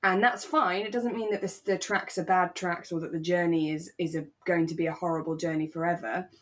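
Lower-pitched woman chatting reflectively. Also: steady tone, a little fast. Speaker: podcast co-host. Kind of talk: relaxed casual discussion of a radio drama.

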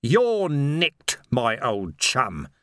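A man playing a gruff, commanding watch officer. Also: medium pitch, huge pitch swings, drawn-out words, a bit bright, dry recording, studio quality, full character voice. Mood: grimly resolute. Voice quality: growling, croaky. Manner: blunt, urgent, clipped orders. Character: gruff, commanding male city watch commander, weary and dogged.

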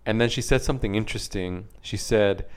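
A man's voice: on the low side, fairly quick, smooth. A man from Australia co-hosting a podcast, chatting in a friendly, reflective way. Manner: friendly, reflective, easygoing storytelling. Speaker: podcast co-host. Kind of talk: casual podcast chat.

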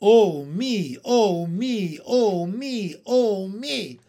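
High-pitched, helpless, pleading frog. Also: male voice, lively intonation, very slow, dark tone, full character voice. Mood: pained wailing. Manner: pleading, hapless. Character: big, bewildered, helpless newly-made male frog.

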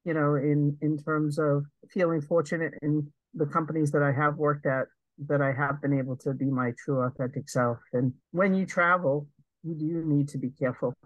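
A woman with a deep voice, a guest in an interview, speaking earnestly and thoughtfully in long winding sentences. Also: fairly even intonation, normal speed, no echo, muffled recording. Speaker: guest, advocate. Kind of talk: reflective interview conversation.

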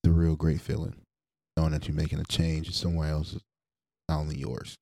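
A man's voice: a bass voice, monotone, fairly quick, a little mellow, no echo, studio quality. A man in his late twenties, co-hosting a podcast in a laid-back, slangy, teasing way. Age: 20s. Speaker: podcast co-host. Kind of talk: casual chat.

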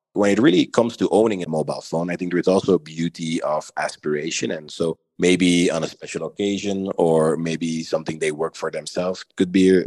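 Very deep-voiced Belgian man, dry sound. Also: a little mellow, dry recording. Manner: measured, thoughtful, often adds "right?". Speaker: podcast guest. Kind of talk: conversational interview answers.

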